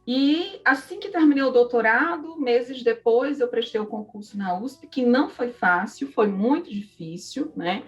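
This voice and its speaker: A woman's voice: fairly high pitch, animated, unhurried, hardly any pauses, recorded from a distance, dull audio, faint music behind. A woman with a Northeastern Brazilian accent telling personal stories in a conversational interview, reflective and often adding little tags for agreement. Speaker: interview guest, psychology professor.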